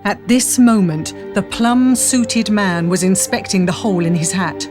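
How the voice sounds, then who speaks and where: A woman's voice: medium pitch, unhurried, a little mellow, faint music behind. A woman giving a dramatic scripted narration of a period adventure story. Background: faint noise and ambience.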